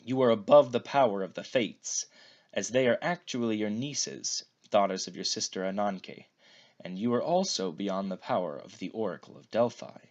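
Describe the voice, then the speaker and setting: A man's voice: fairly low pitch, normal speed, darkish tone, muffled recording, close to an everyday voice. A man playing an ancient primordial god who is a teasing, fond father in a story. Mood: serious.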